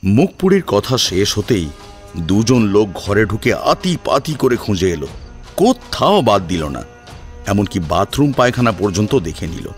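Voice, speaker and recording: A man's voice: on the low side, very animated, a little slow. A man reading a scripted story as a dramatic narrator. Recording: music behind the voice.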